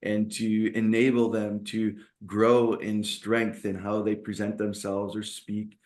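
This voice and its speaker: A man's voice: fairly low pitch, completely flat delivery, slow pace, a little mellow. A man hosting an interview over a video call, asking long, enthusiastic questions with frequent restarts. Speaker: podcast host. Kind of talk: interview host.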